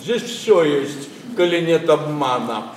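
A man's voice: lively intonation, slow, far from the mic. An actor playing a pompous, blustering older nobleman scolding his household in classic stage verse. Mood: mocking. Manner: booming, scolding, theatrical. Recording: echoey stage from afar, faint noise.

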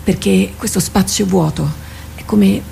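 An actress playing an anguished, loyal army captain in a radio drama, speaking haltingly and with theatrical fervour. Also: female voice, mid-range voice, creaky, dark tone, slight background noise. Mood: hushed, searching. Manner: impassioned, halting, repeats phrases for emphasis. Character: anguished female army captain, loyal soldier wrestling with herself.

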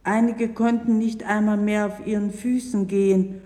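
Compassionate missionary woman played by an actress, solemn storyteller, measured delivery, mellow voice, hall reverb on vintage vinyl. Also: mid-range voice, little pitch movement, natural voice. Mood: grave, sorrowful. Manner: grave, measured. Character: grave, compassionate female missionary recounting her memories. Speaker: narrator. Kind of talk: solemn scripted audio drama narration.

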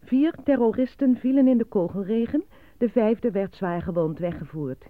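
A woman's voice: a little high, no echo, close to an everyday voice. A woman acting as a formal, matter-of-fact newsreader reading a bulletin in a radio drama. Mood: formal, factual. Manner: formal, measured announcing. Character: matter-of-fact female newsreader reporting grim news. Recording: dry, thin phone-like radio bulletin.

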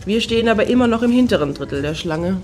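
A woman's voice: medium pitch, lively intonation, fast talker, darkish tone, natural voice. An actress playing a curious, dryly casual woman clubgoer in a satirical radio play. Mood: chatty.

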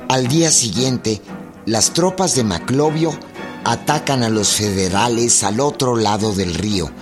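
An actor as a sober narrator, delivering scripted historical radio drama narration. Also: male voice, mid-range voice, unhurried, natural voice. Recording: music behind.

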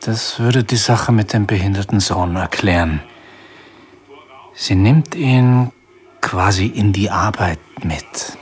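Radio crime play: laconic male private detective, deep voice, composed and understated. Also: takes it slowly. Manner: terse, understated. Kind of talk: radio drama dialogue.

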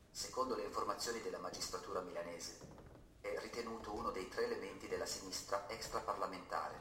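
Man as a low, sharp-voiced news announcer. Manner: formal, measured. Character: male news announcer, formal, impersonal. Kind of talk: scripted news bulletin. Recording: roomy, distant, faintly noisy sound.

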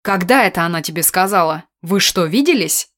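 A woman voicing an indignant, sharp-tongued woman who argues back in an audiobook story. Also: on the low side, expressive, a little fast. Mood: suspicious, probing. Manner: outraged exclamations and pointed questioning.

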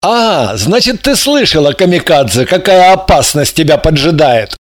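Guy doing a cocky young man, smug drawl, high and swingy, dry sound. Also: very animated, normal speed, no echo. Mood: mocking.

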